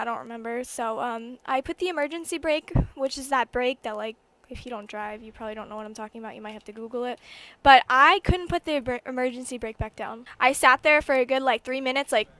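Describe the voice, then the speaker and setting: An eighteen-year-old woman telling a personal story casually over gameplay, rambling and animated, with frequent swearing. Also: fairly high pitch, no room sound. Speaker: gaming YouTuber.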